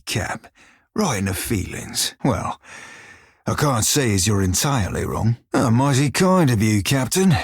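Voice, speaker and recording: A man voicing a sly, foul-mouthed pirate shiphunter in a video game, husky, with a pirate-style dialect and salty seafaring slang. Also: mid-range voice, dry recording, clean studio sound. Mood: teasing, sardonic. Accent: pirate-style English dialect. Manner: salty seafaring slang, colourful cursing, wry. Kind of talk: video game character dialogue.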